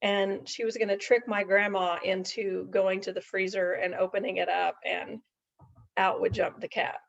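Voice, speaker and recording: Gravelly middle-aged woman telling a folksy story. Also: mid-range voice, level tone, moderate pace. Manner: folksy, chatty, with asides and tag questions. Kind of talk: casual anecdotal storytelling to an audience. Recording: dull lo-fi recording.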